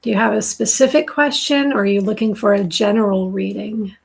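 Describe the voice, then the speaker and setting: A middle-aged woman with a raspy, dry-throated voice, slowly and softly giving a live tarot reading as host. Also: medium pitch, fairly even intonation. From southern New Hampshire. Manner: slow, soft, soothing intuitive reading.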